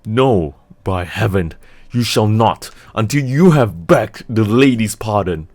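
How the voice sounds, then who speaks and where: A man reading aloud and voicing a hot-tempered young nobleman who bursts out in loud, indignant rebukes. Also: medium pitch, big pitch swings, slow, voice pushed up. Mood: furious. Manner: forceful, indignant outbursts.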